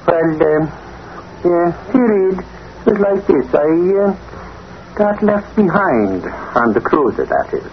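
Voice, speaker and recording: An actor playing a blustering, good-natured, slow-witted Irishman in a folksy brogue, in 1940s radio style. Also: high voice, expressive, exaggerated voice. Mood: sheepish. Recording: muffled, very noisy old radio recording.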